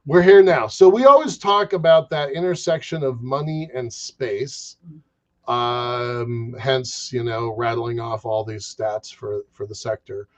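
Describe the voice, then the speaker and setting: A man hosting a podcast interview, chatting casually, halting and repeating words as he goes. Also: medium pitch, lo-fi sound. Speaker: podcast host.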